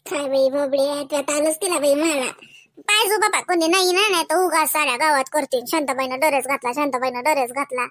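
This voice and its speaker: A woman's voice: sits high, a little fast, a bit bright, no room sound, studio quality. A woman voicing a bashful, fussing middle-aged village mother in a comedy cartoon, with a Varhadi Marathi accent and a coy, scolding, exclamatory manner. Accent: Varhadi Marathi from Vidarbha.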